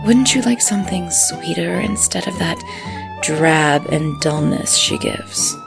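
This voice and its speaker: Lady doing a flirty catty diva, slow and swoopy, coy, music behind her, radio play. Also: medium pitch, wildly swooping pitch, heavily acted. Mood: sultry, flirtatious. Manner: coy, purring, drawn-out flirting. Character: sultry flirtatious cabaret diva, sugary and catty. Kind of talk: 1920s radio play acting.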